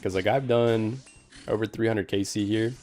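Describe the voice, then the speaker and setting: A man's voice: on the low side, steady tone, normal speed, darkish tone, soft background music. A young man streaming a game, giving easygoing commentary full of "like" fillers and answering chat questions. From Canada. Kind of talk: casual gameplay commentary.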